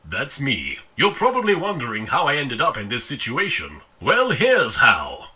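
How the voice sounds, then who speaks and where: A man's voice: mid-range voice, huge pitch swings, moderate pace, sharp tone, full character voice. A man playing a vain, self-pitying teenage pharaoh in a parody cartoon, whiny and melodramatic. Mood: gloomy, vain.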